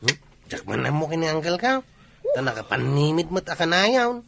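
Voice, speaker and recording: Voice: medium pitch, very animated, normal speed, creaky, crowd noise. An actor playing a cheeky villager trading banter in a comedy radio drama, teasing and conversational.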